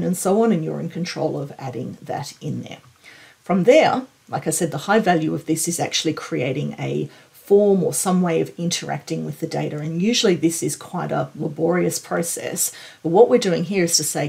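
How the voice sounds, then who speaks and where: A woman in her forties explaining a software demo in an informal, enthusiastic, conversational way. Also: low-pitched, gravelly, breathy edge. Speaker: tech presenter.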